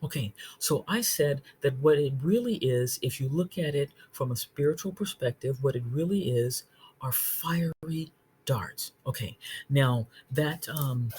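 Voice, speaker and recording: A woman's voice: a bass voice, a little mellow, slight background noise. A woman giving an informal Bible study talk, earnestly explaining verses, often checking in with "okay?" and restarting her sentences. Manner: restarts phrases and reads scripture aloud slowly. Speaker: online Bible teacher.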